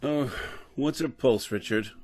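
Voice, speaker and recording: A man playing a dedicated, weary scientist professor in old-time radio drama style, speaking in a measured, formal way. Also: medium pitch, darkish tone, slight background noise, natural voice. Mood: earnest, driven.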